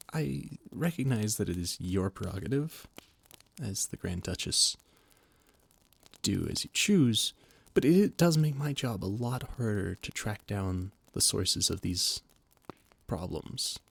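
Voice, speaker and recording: A man's voice: fairly low pitch, gravelly, breathy edge, heavily acted. A young man playing an anxious, loyal royal advisor who stammers and speaks formally. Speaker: voice actor in an audio roleplay. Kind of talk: scripted audio roleplay.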